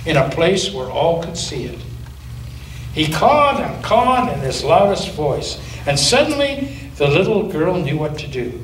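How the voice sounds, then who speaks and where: A man, a pastor, reading a children's story aloud in a church with expressive storytelling, recorded from a distance with a roomy echo and faint hiss. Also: on the high side. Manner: expressive storytelling, with occasional slips.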